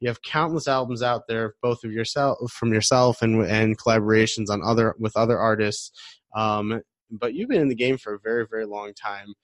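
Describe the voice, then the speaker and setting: A man's voice: on the low side, normal speed, no echo. A man hosting a podcast in casual, effusive interview chat, often repeating himself. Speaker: podcast host.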